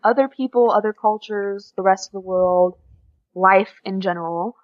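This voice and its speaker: A woman's voice: medium pitch, normal speed. Young American woman, dull lo-fi sound. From USA, small town in Oklahoma. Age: teens. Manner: informal, frequent "like" as filler. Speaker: interview guest, exchange student. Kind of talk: casual chat.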